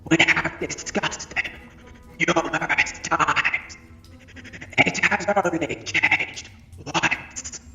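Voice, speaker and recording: A man voicing a surly, scornful reptilian sea creature commander for a fan audio drama, slow and menacing with clipped replies. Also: fairly high pitch, steady tone, pushed and bright, exaggerated voice. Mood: weary impatience. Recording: distant, echoing, with music behind.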